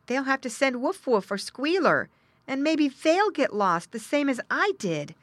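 Storybook piglet, acted by a woman: young, lost, plucky but fretful, raised pitch, close dry sound. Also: very animated, a little slow, dry recording, full character voice. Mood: worried. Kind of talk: children's storybook character voice.

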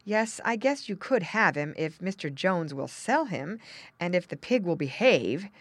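A woman voicing a doubtful but indulgent father in a children's storybook, deliberate and a little hesitant. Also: fairly low pitch, sing-song, dry recording, heavily acted. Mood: musing.

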